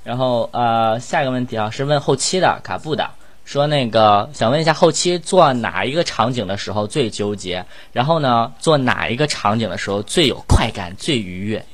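A man's voice: medium pitch, animated, normal speed. A man who works as a voice actor, hosting a casual cast Q&A with playful banter. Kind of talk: casual group chat, reading out questions.

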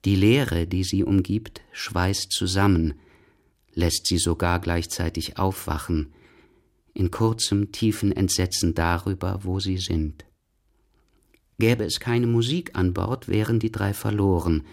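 A man narrating a scripted literary radio piece as a measured storyteller. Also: deep voice.

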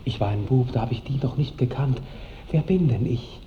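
A man's voice: mid-range voice, animated, normal speed, natural voice. A man playing a bashful, chivalrous seventeen-year-old count in a radio play, courtly and ardent. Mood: awed love. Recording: muffled, echoey 1960s radio with noise.

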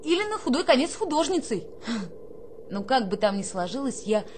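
A woman's voice: high-pitched, very animated, normal speed, slight background noise. An actress playing an affected young soldier who poses as a refined girl, coquettish and haughtily polite, in a theatrical radio play. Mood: grand, self-dramatizing.

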